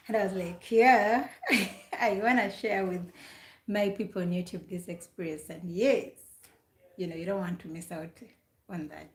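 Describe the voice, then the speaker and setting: A woman from Uganda hosts a relaxed live stream at a middle pitch. She is chatty and repeats her questions as she greets viewers by name. The room gives her voice a noticeable echo. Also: slight background noise. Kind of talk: casual live stream chat.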